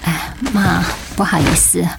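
A woman's voice: fairly low pitch, steady tone, a little slow, creaky, background noise. A woman playing a weary, self-doubting sick young mother in a radio drama, with a Taiwanese Mandarin accent. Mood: weak, apologetic. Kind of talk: dramatic radio play acting.

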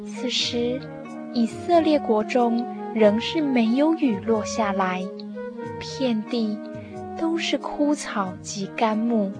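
A woman's voice: on the high side, animated, takes it slowly, music in the background. A woman narrator telling a scripted story for a radio drama.

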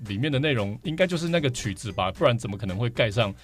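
A man's voice: medium pitch, lively intonation, racing, faint music behind. Taiwanese man telling stories as a guest. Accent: Taiwanese Mandarin. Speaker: podcast guest, vinyl record collector. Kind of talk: casual interview storytelling.

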